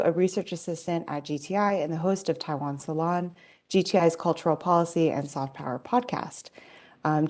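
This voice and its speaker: A woman's voice: low voice, darkish tone. A woman hosting an interview, reading a polite, formal introduction and asking questions. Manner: polite, formal, reads from notes. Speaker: host, research assistant.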